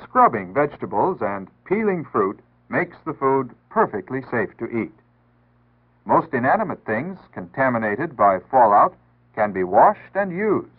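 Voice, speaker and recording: A man's voice: mid-range voice, big pitch swings, measured pace, husky. An American man reads a scripted 1960s public service announcement in an earnest, instructive, formal way. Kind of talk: 1960s scripted civil defense announcement narration. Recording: tinny band-limited 1960s radio-style archive audio.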